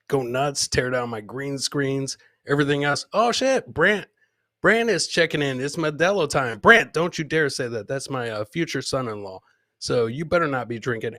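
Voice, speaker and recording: A man's voice: medium pitch, a bit bright, dry recording. Middle-aged man, dry close-sounding comedy host. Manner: informal, swearing, repeats phrases for emphasis. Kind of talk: casual live-stream comedy chat.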